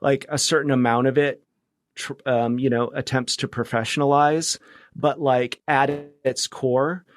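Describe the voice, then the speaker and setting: A man's voice: mid-range voice, steady tone, measured pace, no room sound. Male podcast guest, mid-range voice, casual and tentative, rephrasing often, very dry recording. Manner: tentative phrasing, trails off and rephrases. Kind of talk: casual conversational interview.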